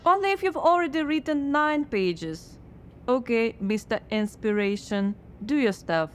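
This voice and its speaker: An actress playing a blocked woman playwright who answers with wry, deadpan sarcasm in a radio drama. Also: on the high side, measured pace, a little hiss or hum. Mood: sardonic. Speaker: writer.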